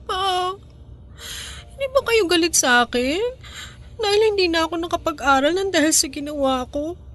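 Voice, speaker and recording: An actress with a Filipino accent playing a loving, vulnerable, remorseful young adult daughter in an emotional radio drama style. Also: female voice, extremely high pitch, lively intonation, moderate pace, fairly bright tone. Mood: distressed, confessing. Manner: emotional, pleading, apologetic.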